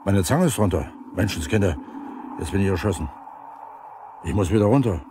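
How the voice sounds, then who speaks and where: A stubborn, tired older brigade leader in a 1970s East German radio play. The voice sits on the low side and talks gruffly and plainly. There is faint noise from the old record. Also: male voice, lively intonation, fairly quick. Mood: exhausted, stubborn.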